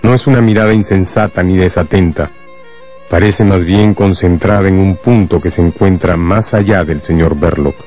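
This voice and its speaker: A man narrating a scripted radio drama in a measured, dramatic storytelling manner. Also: low-pitched, darkish tone, faint music behind.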